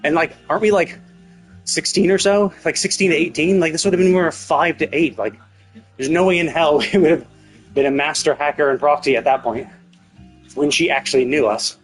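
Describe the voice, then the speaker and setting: A man's voice: on the high side, fairly quick, low-quality recording. A man streaming casual game commentary, thinking aloud and rephrasing himself as he speculates about a story. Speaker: live streamer. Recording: music playing behind the voice. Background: game music.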